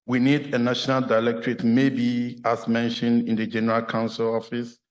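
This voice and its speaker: A man from Ghana with a Ghanaian accent, a clergyman giving a measured, earnest formal address at a church meeting. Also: mid-range voice, monotone.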